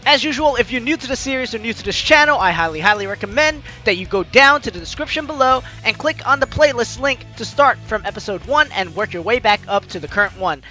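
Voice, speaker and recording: Young male playthrough host; chatty, high, bright voice, music under. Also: animated, fast, few pauses. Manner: chatty, reads lines with asides. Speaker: gameplay host reading the story aloud. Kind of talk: let's play narration and commentary.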